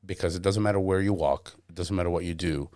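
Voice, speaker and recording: A man's voice: sits low, quick pace, a little mellow, no room sound, clean studio sound. A man hosting a podcast, talking thoughtfully in casual conversation and often searching for words. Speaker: podcast host.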